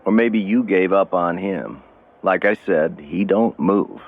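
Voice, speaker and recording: Deep, gravelly, devout old-school convict. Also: male voice, measured pace, phone-quality sound. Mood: blunt concern. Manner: plain-spoken, folksy, admonishing. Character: steady, blunt, devout male long-term prisoner, kindly counselor. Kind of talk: radio drama dialogue.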